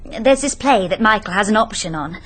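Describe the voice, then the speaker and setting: Actress playing flighty young woman, posh English accent, very animated melody, theatrical gush, money-minded, radio drama. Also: medium pitch, a little fast, close to an everyday voice. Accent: upper-class English.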